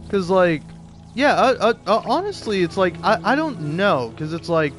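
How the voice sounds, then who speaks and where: A man's voice: high-pitched, big pitch swings, normal speed, soft background music. A man talking in a casual, rambling monologue, second-guessing himself as he goes. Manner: rambling, self-questioning. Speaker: video creator.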